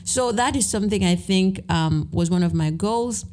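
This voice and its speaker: A woman hosting a solo podcast, talking in an earnest, encouraging way with lots of fillers, her voice a little raspy. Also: medium pitch, normal speed, darkish tone. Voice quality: slightly raspy. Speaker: podcast host. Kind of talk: solo reflective podcast talk.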